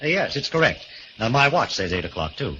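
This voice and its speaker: Affable, lively gentleman played by a man. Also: mid-range voice, brisk, natural voice. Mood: breezy. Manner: affable, breezy. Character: genial, unconcerned master of an eerie house. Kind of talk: 1940s radio mystery acting. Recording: dull old radio recording, faint noise.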